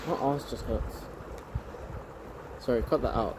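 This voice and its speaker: A young man chatting casually with friends while resting on a hike, filmed on a handheld phone outside. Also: mid-range voice. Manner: chatty, quick questions, offhand. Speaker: vlogger on hiking expedition. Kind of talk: casual chat with friends outdoors. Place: outdoors on a grassy hillside. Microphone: handheld phone camera. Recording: outdoor wind and ambient noise.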